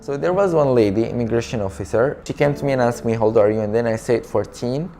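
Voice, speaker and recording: Young Syrian man, soft and halting. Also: mid-range voice, faint music behind. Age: young adult. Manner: soft, halting and earnest, with non-native grammar. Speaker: refugee telling his story. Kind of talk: documentary interview testimony.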